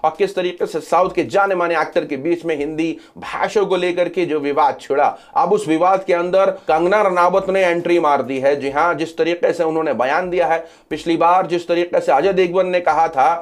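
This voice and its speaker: Male news commentator, pitched slightly high, explanatory update style with emphatic repetition. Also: steady tone, normal speed, few pauses. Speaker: social media news commentator. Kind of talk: news commentary sharing updates to camera or over screen.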